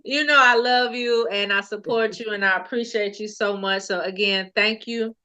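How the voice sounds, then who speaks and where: A woman's voice: mid-range voice, fairly even intonation, normal speed, bright, forceful tone, lo-fi sound. A woman hosting a podcast in an easygoing, affectionate way, with casual terms of endearment. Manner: affectionate, easygoing, calls people boo and baby. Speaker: podcast host. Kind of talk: casual interview chat.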